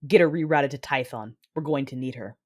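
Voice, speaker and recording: An actress playing a vengeful female Sith lord, narrating her own story in an audio drama with intense, deliberate delivery. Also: rumbling low, a little mellow. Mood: controlled fury. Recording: music behind the voice.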